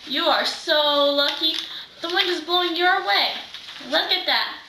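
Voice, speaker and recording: A woman's voice: extremely high pitch, unhurried, background noise. A teenage girl playing a witch's chatty, bossy sidekick, reading with exclamations in readers' theater. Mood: amazed. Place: living room, far camera microphone. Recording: roomy, distant microphone.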